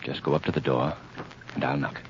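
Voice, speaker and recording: An actor playing a calm, commanding gentleman sleuth in 1930s radio drama, speaking in a measured, grave manner. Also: a bass voice. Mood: calm, guarded. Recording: muffled, noisy 1930s radio recording.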